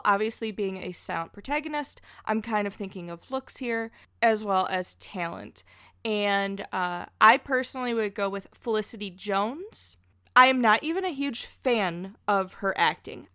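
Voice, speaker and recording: A woman's voice: mid-range voice, fairly bright tone, no echo. Chatty woman on a dry, close podcast. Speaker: podcast guest. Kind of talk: casual podcast discussion.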